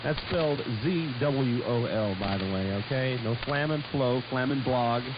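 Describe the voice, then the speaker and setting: A man's voice: medium pitch, moderate pace, dull audio, noisy background. A man co-hosting a casual radio talk show, rambling and stumbling over his words, on a shortwave broadcast. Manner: rambling, hesitant with frequent stumbles.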